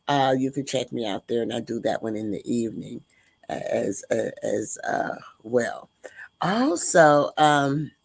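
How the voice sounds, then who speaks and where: A woman's voice: a bass voice, creaky, a little mellow, no room sound. An older woman hosting a livestream podcast, talking casually with a nasal voice and many fillers. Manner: slow, halting, many fillers. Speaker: host.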